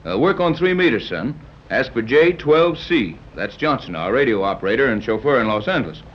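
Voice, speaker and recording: An actor playing a wry, skeptical sea captain in a 1930s radio serial, from a dull old recording. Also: male voice, medium pitch, lively intonation, lightly acted. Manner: dry, skeptical, brisk.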